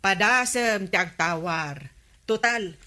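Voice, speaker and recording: A woman's voice: mid-range voice, takes it slowly, sharp tone. A woman playing a plaintive village shopper who is short of money, in a comedy radio drama. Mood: plaintive, coaxing.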